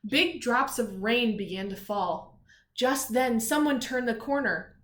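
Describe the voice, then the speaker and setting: A woman teacher reading a picture book to young children, expressive and emphatic on the text, with softer, encouraging conversational asides and questions. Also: on the high side, measured pace. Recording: echoey room sound.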